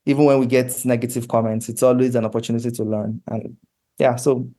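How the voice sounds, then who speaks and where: A man with a Nigerian accent, giving earnest, measured answers in a conversational interview. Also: mid-range voice, flat delivery, mellow. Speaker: comic book creator, interview guest.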